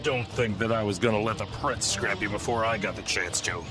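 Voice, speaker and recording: A man plays a tough robot hunter in a cartoon radio drama. He sounds gruff and somewhat low, with music clearly underneath. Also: fairly quick, lightly acted. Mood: gruff, threatening. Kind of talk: animated-series radio drama acting.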